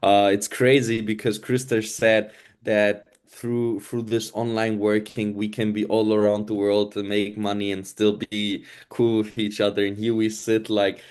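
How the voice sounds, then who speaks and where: A man's voice: fairly low pitch, level tone, measured pace, a little mellow, clean tone. A man in his twenties, originally from Italy, speaking earnestly on an online webinar and often repeating himself. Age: 20s. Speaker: webinar presenter. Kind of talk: casual online presentation.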